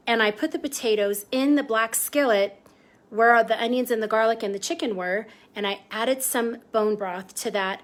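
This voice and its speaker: A woman hosting a casual live cooking stream from her home kitchen, chatty, greeting viewers by name and easily sidetracked. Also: a little high. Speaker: host of a cooking livestream.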